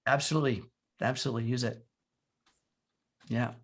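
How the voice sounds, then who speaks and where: A man calmly explaining how to use a wellness device on a group video call. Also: mid-range voice, a little fast. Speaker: guest expert.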